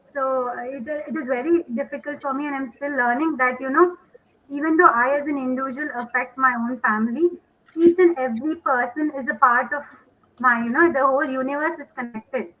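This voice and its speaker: Woman, high pitch, halting, repetitive phrasing, panel talk. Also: a bit bright, low-quality recording. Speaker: podcast panelist. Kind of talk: unscripted panel discussion.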